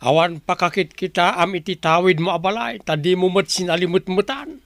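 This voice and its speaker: Grumpy high-voiced old man played by an actor. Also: fairly quick, fairly bright tone, dry recording. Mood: bickering. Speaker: actor in an audio drama.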